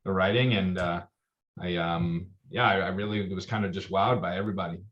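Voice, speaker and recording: A man's voice: sits low, steady tone, brisk, a bit bright. A man speaking as a guest over a video call, hesitant and stammering. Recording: dull lo-fi call audio.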